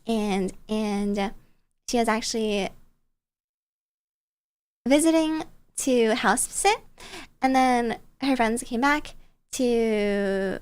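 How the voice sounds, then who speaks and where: A woman's voice: on the high side, drawn-out words, long pauses, darkish tone, clear, resonant tone. A woman streamer telling stories casually in a bubbly, playful, cutesy way. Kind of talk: casual storytelling chat on a livestream.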